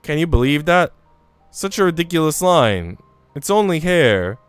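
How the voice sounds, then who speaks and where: A man reading a visual novel's young housemaid, who tells her story in a halting, self-deprecating way. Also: a little high, big pitch swings, smooth, no room sound, faint music behind, voice pushed up. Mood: wistful, self-deprecating. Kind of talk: visual novel dialogue read aloud.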